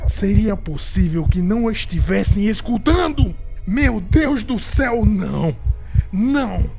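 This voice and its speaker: A man's voice: fairly high pitch, creaky. A Brazilian man playing a guilt-ridden, unhinged murderer who narrates a gothic horror audio drama in a theatrical, intense way. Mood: desperate, anguished. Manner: theatrical, intense, swinging from hushed to shouting.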